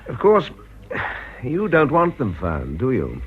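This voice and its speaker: An actor in a radio drama playing a smooth-talking television presenter, politely inquisitive with hesitant lead-ins. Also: male voice, mid-range voice, huge pitch swings, slow pace, slight background noise. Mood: insinuating. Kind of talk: British radio drama acting. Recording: dull, muffled radio recording.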